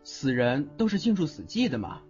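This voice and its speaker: A man acts a young audio-drama charmer, teasing yet protective, with a widely swinging pitch. The sound is a little dull, with soft music behind. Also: big pitch swings, normal speed. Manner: playful, teasing. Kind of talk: Chinese audio drama acting.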